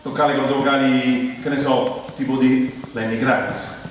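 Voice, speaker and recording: A man's voice: mid-range voice, flat delivery, measured pace. Echoey Roman man performing a comic stage monologue. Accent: Roman Italian. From Rome, Italy. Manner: conversational, hesitant comic delivery with rhetorical asides. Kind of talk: humorous theatrical monologue. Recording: echoey theatre hall from far off, music behind.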